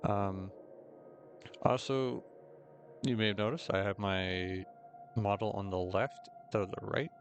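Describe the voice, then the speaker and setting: A young man with a slightly hoarse, rough throat, chatting casually and rambling through gameplay commentary with many fillers and self-corrections. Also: fairly low pitch, steady tone, a little slow, pauses often, soft background music. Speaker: gaming video host. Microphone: desk mic with noise gate.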